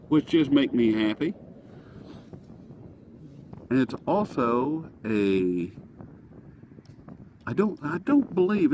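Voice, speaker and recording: A man giving a casual, rambling gadget review outdoors, folksy and self-deprecating, easily sidetracked. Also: medium pitch. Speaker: product reviewer presenting to camera. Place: outdoors on a breezy day. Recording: breezy outdoor recording with wind noise. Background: light wind noise.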